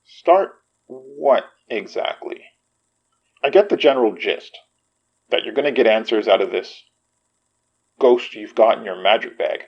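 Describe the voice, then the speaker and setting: Young friend, audio drama, creaky voice, medium pitch, caring, wry, skeptical, slightly dull recording. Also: male voice, expressive, a little slow, long pauses, heavily acted. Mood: concerned. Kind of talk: naturalistic audio drama acting.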